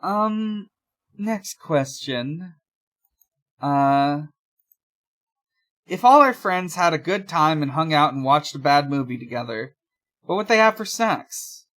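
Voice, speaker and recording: A man's voice: a little high, expressive, slow, long pauses, a bit bright. A man co-hosting a podcast in casual chat, matter-of-fact and hesitant as he thinks things through aloud. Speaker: podcast co-host.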